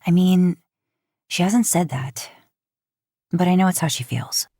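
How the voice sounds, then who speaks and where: A woman's voice: on the low side, measured pace, mellow, no room sound, studio quality. A woman acting a guarded, hesitant young woman. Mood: quietly troubled. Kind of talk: fan fiction audio story reading.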